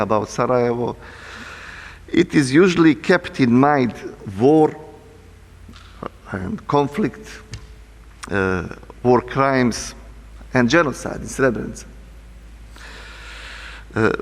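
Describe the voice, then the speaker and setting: A man from Bosnia with a Bosnian accent, an imam speaking English as a second language at a university seminar, earnest and halting, often repeating words as he restarts. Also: medium pitch. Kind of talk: seminar talk. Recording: long hall reverb.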